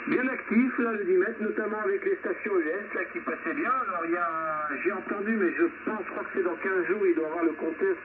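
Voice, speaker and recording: A man's voice: sits high, a little fast, hardly any pauses. A man chatting casually as he runs an amateur radio net over the air. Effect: ham radio transmission. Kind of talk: casual radio chat among ham operators. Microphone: radio transceiver microphone. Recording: narrow-band shortwave radio, loud static hiss.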